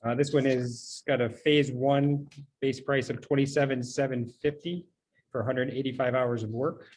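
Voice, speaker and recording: A man's voice: medium pitch, steady tone, normal speed, darkish tone, a little hiss or hum, low-quality recording. A man speaking as a town official, reading out and discussing documents in a meeting.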